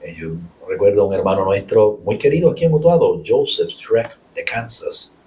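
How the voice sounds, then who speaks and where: A man with a Puerto Rican accent, a friar answering interview questions in a measured way, with pauses and restarts. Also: on the low side, very animated, a little mellow, far from the mic, poor audio. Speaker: Capuchin friar and guest.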